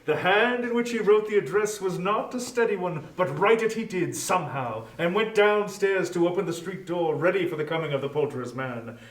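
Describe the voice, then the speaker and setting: Male narrator, high-pitched voice, expressive measured storytelling, scripted dramatic reading, echoey distant recording. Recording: echoey room, distant from microphone.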